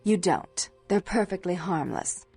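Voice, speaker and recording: A woman's voice: on the low side, lively intonation, unhurried, gravelly, darkish tone, husky, exaggerated voice. A woman voicing a haughty, controlling vampire nightclub owner in a video game, prim and condescending. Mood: businesslike, imperious. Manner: prim, condescending, formal diction. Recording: music behind.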